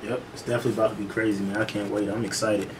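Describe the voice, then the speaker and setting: A man's voice: fairly low pitch, level tone, rapid-fire, distant mic. Man speaking off the mic. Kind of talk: casual radio interview chat. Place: radio studio. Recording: noisy studio, off the mic.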